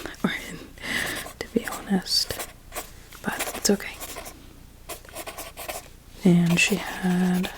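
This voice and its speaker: A woman playing a sinister, courteous doctor in an ASMR roleplay, mostly in a soft, breathy whisper with a measured, clinical manner. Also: lowish voice, rough recording. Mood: quietly clinical. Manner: slow, measured, clinical and politely formal.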